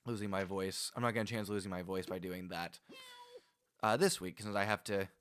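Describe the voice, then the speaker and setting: Chatty, low-voiced man streaming a game. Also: steady tone, brisk, fairly bright tone, a little hiss or hum. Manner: chatty, rambling, frequent restarts and laughs, talks to viewers by name. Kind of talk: casual livestream chat while gaming.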